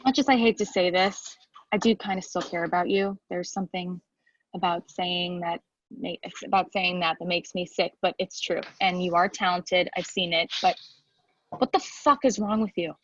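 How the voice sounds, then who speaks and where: A woman playing a hurt, blunt lawyer speaking to an ex in a naturalistic screenplay table read, halting and emotional. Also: fairly low pitch, dull audio, slight background noise, lightly acted. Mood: hurt, reproachful. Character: hurt, blunt ex-partner, a hard-working lawyer.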